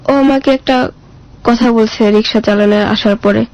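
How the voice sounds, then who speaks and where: A woman's voice: a little high, fairly even intonation, moderate pace, darkish tone, highs cut off. A woman with a colloquial Bangladeshi Bengali accent tells her life story as a guest, plain-spoken and casual, acting out remembered dialogue as she goes. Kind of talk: personal storytelling in casual speech.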